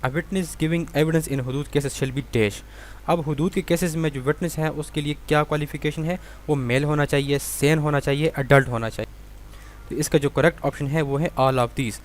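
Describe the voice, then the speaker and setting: A man's voice: a little high, steady tone, moderate pace, slight background noise. A Pakistani man giving an explanatory law lecture as a tutor, reading out questions and then explaining them didactically, repeating and rephrasing his points.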